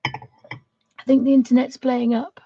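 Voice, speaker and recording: Croaky soft-spoken woman, dull lo-fi stream. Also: high-pitched, little pitch movement, slow, dry recording. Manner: soft, rambling, often half-whispered. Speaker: livestream host. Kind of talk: casual chat while colouring.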